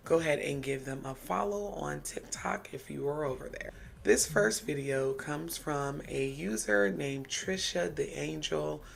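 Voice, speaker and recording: A woman's voice: low-pitched, gravelly, a little hiss or hum. An American woman co-hosting a livestream, blunt and conversational as she introduces video clips. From United States. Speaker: co-host. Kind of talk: livestream commentary.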